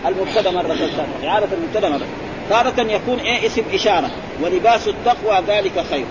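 A man, a sheikh teaching grammar who keeps prompting his students with quick questions. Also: sits high, animated. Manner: quizzing, prompting with repeated "eh?" and "ha?". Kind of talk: classroom explanation with questions to students. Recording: muffled recording with crowd and traffic noise.

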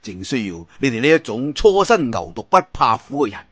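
A Cantonese man voicing a righteous martial-arts leader with grave, measured oratory in an audio storybook. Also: mid-range voice, sing-song, fairly bright tone, no room sound. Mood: approving, grave.

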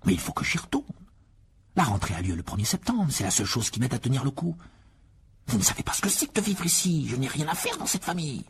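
A woman's voice: a bass voice, sing-song, fast talker, husky, pitched above the performer's own voice, heavily acted. A woman voicing an anxious, earnest young boy wizard who pleads his case. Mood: desperate.

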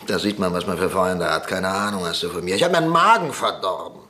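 A bitter, ailing old industrialist. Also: male voice, low voice, lively intonation, quick pace, sharp tone. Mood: defensive. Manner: agitated, grumbling, swings between sarcasm and outbursts. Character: ailing older male industrialist, bitter and irritable. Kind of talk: 1950s German radio play acting.

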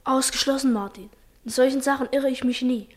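A woman's voice: high voice, a little fast, lightly acted. A woman playing a thoughtful, confident schoolboy poet in a children's radio play, speaking earnestly and reflectively. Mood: confident, persuasive.

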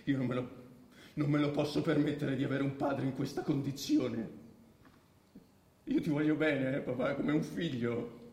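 A man's voice: mid-range voice, normal speed, pauses often, a little mellow, lightly acted. A man acting in an Italian radio drama as a domineering, anguished grown son seizing his father's power, insistent and prone to repeating himself. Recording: roomy, echoing radio drama recording.

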